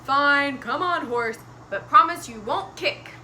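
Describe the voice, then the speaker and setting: Storybook grandpa boatman, loud, agreeable but firm, performed by a woman. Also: high-pitched, slow pace, slight background noise, heavily acted.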